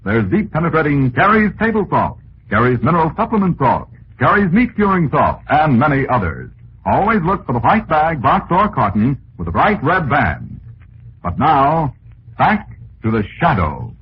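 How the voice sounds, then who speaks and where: Male 1940s radio announcer, hearty folksy sales read, lively intonation, muffled vintage sound. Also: fairly high pitch, normal speed. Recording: dull old radio recording with hiss.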